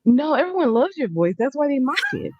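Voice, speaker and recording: A woman's voice: high-pitched, very animated, a little fast, darkish tone, no echo, lo-fi sound. A woman panelist on a remote call, teasing and playful in casual chat.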